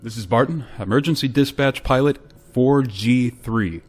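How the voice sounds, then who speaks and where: An actor playing a troubled, dutiful space pilot who narrates in a terse, grave way in a radio play. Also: male voice, mid-range voice, normal speed, a little mellow, natural voice. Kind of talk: dramatic radio play acting with first-person narration.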